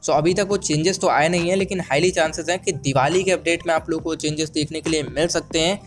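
An Indian man with a fairly high voice presents gaming updates in a casual, code-switching way. He speaks as a channel host in voice-over, with music playing behind him. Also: quick pace. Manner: code-switches between Hindi and English. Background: background music.

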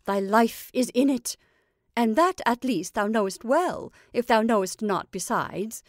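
A high, sharp-voiced old witch, as a woman reads her, cooing over her creation in old-fashioned speech. Her pitch sits well above a plain speaking voice and rises sharply when she calls out. The sound is dry and close. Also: a little slow, no echo, natural voice. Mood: doting. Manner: fond and coaxing, archaic speech. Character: cackling old witch mother, doting, sharp-tongued.

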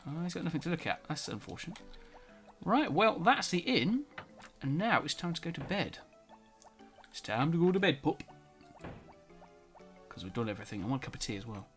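A man's voice: on the high side, big pitch swings, fairly quick, pauses often, fairly bright tone. A man giving casual let's play commentary, a bit nasal from a cold, reading game text aloud as he plays. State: slightly nasal, sore throat. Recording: faint music behind.

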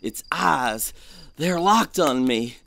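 A man's voice: a little high, lively intonation, takes it slowly, vocal fry, fairly bright tone, no room sound, heavily acted. A man playing a fearful, superstitious gold prospector with a folksy, haunted delivery in an audio drama. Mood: haunted dread.

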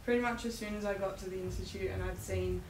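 A woman's voice: mid-range voice, fairly even intonation, fast talker. A young Australian woman from a rural area, a guest athlete answering interview questions modestly and hesitantly, with many fillers. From rural Victoria, Australia. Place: small podcast lounge room, indoors. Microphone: studio desk mic with headphones. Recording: roomy, distant-miked, noisy room.

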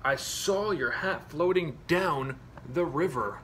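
A jolly, kindly badger from a children's storybook speaks in a warm, low chuckle of a voice, played by a man reading aloud. The delivery is unhurried and bright. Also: a little hiss or hum. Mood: urgent. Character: kind jolly old badger, rescuer.

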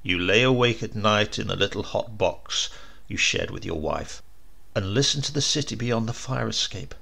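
A man's voice: on the low side, animated, normal speed, gravelly, sharp tone. A man narrating a short story as a scripted, dramatic audiobook reading.

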